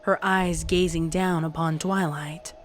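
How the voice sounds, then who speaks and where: Low-pitched woman narrating a dramatic story. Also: fairly even intonation, a little slow. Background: music.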